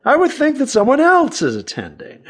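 An actor playing a wry, needling, excitable frontier doctor in a western audio drama. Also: male voice, extremely high pitch, normal speed, exaggerated voice. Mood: teasing, wry. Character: excitable nosy male frontier doctor, dry-witted.

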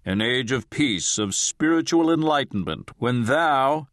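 A man's voice: mid-range voice, animated, a bit bright, no room sound, studio quality. A man doing a solemn channeled spirit. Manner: solemn, archaic, drawn-out pronouncements. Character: woman medium channeling a solemn ancient spirit. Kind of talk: audiobook character voice.

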